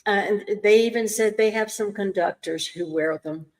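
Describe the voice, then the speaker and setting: A woman co-hosting a relaxed online chat. She chimes in with chatty, friendly asides at a mid-range pitch, talking comfortably and easily. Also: normal speed. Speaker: co-host. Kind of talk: casual livestream chat.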